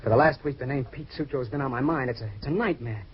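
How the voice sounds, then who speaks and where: A haunted, anxious American man, played by a male actor pleads in 1940s radio drama style. His words come quickly, with nervous hesitations and repeats, in a medium-pitched voice on a muffled old recording. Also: slightly rough, lightly acted. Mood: haunted, pleading. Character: anxious, haunted retired male client, softening ex-fighter.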